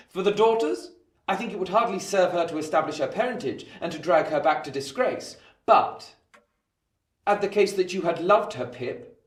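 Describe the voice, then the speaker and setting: An actor playing a shrewd, guarded Victorian lawyer in a radio play, speaking in deliberate, rhetorical phrases with pointed emphasis. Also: male voice, high-pitched, reverberant, distant mic. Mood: pointed, forceful.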